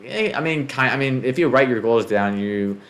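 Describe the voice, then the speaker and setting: A man's voice: medium pitch, animated, normal speed. A man hosting a casual podcast, talking loosely with swearing and many fillers. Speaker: podcast host.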